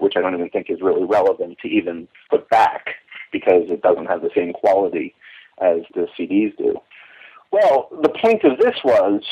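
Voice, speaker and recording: Low-voiced man, dull lo-fi recording. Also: breathy edge. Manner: rambling, repeats and rephrases himself. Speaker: commentator on radio drama history. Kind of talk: informal explanatory talk.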